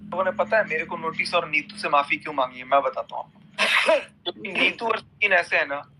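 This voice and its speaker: A man's voice: on the high side, a little fast, background noise. A man in a heated personal argument on a recorded group phone call, insistent and repeating himself.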